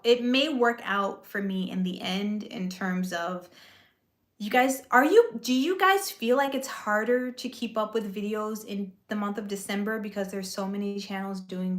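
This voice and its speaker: A woman's voice: mid-range voice, a bit bright. A woman chatting with viewers on a live stream, talkative and easygoing, with a soothing voice and lots of "like" and "you know". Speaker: vlogger and aspiring actress. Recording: echoey room.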